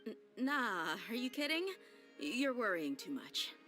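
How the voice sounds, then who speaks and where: A woman's voice: fairly high pitch, sing-song, measured pace, pushed and bright, soft background music, heavily acted. A woman playing a tough, streetwise mercenary in a video game, guarded but loyal, speaking in a blunt, informal way. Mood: nervous, evasive.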